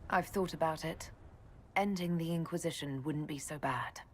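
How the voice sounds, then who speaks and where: An actress playing a calm, confident leader who speaks plainly and evenly. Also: female voice, sits low, moderate pace, a little hiss or hum, close to an everyday voice.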